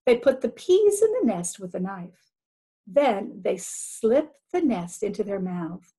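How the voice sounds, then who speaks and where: A woman's voice: medium pitch, big pitch swings, slow pace, mellow, slightly rough, heavily acted. A woman voicing a kindly old great uncle who instructs patiently in a read-aloud storybook. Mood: patient, instructive.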